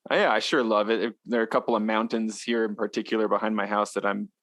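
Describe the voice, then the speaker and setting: A man's voice: lowish voice, steady tone, fast talker, a bit bright, dry recording. A man from the western United States hosting a podcast, talking in a friendly, chatty way. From western United States (Utah). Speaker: podcast host. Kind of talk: casual interview chat. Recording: dry close sound.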